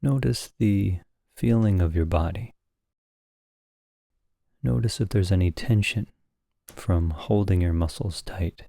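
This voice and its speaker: Slow, low-voiced man guiding a meditation. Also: gravelly, no room sound, studio quality. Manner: calm, gentle, instructing in short phrases with long pauses. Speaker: meditation teacher. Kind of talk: guided meditation narration.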